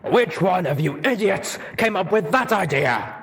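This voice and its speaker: A man voicing an angry, gruff armored pony soldier in a story reading, stern and demanding. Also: sits high, lively intonation, a little slow, fairly bright tone, voice pushed up, heavily acted. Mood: furious.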